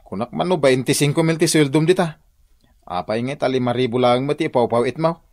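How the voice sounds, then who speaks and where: An actor playing a wheedling, excitable husband in a radio drama, with an Ilocano accent. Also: male voice, mid-range voice, fairly quick, no echo, clean studio sound, close to an everyday voice. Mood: nagging.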